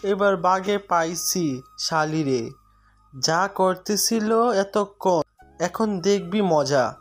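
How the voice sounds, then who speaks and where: A woman's voice: fairly low pitch, lively intonation, takes it slowly, soft background music. A woman playing a crude, menacing young male thug in an audio story, with a taunting drawl. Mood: gloating.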